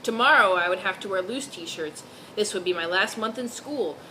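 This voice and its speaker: A woman's voice: mid-range voice, normal speed, pushed and bright, slight background noise, rough recording. A woman doing a mock-serious dramatic reading of fan fiction, dropping in amused asides.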